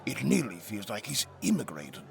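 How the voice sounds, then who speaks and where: An actor playing an effusive, flattering family friend at a party, gushing theatrically in an audio drama. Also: male voice, lowish voice, normal speed, gravelly, exaggerated voice. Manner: gushing, theatrical society talk.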